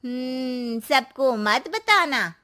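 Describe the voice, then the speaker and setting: A woman's voice: high voice, animated, very slow, pushed and bright, exaggerated voice. A woman voicing a nosy middle-aged neighbour aunty in a cartoon moral story, giving emphatic, ominous warnings. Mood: probing, cautioning. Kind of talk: Hindi animated moral story voice acting.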